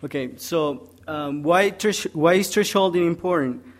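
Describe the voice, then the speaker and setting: A young man from Peru presents a technical topic at a conference. He talks haltingly, with many ums, walking through each point step by step. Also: a little high.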